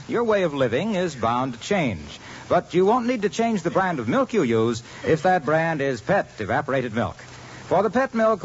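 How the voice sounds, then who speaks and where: A male radio announcer giving an earnest, polished 1950s ad read. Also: fairly high pitch. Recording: dull old radio broadcast with light hiss.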